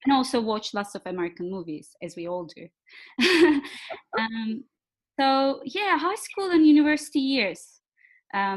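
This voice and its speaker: Woman, fairly high voice. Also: rough recording. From Turkey. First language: Turkish. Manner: thoughtful, frequent filler pauses. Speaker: guest, executive coach. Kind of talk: podcast interview.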